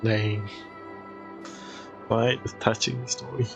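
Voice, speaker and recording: A man's voice: mid-range voice, level tone, slow pace, vocal fry, lo-fi sound, music in the background. A man streaming a game, giving laid-back, terse reactions in casual commentary.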